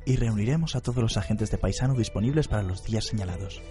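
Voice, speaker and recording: An actor playing a measured, watchful male detective in a radio drama. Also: lowish voice, warm tone, faint music behind, natural voice. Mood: businesslike.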